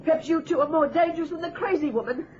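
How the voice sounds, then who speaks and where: A woman's voice: very high-pitched, fast, darkish tone. An actress playing an agitated, suspicious old woman who pleads and demands in an old radio thriller. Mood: menacing, agitated. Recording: noisy, dull old radio recording.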